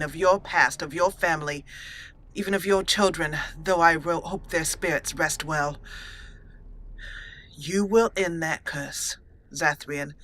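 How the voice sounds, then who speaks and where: A woman acts out a resolute elf mage for a fantasy roleplay. She is formal, deliberate and commanding, with a crisp bright tone. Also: low voice, slight background noise. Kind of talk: improvised roleplay dialogue.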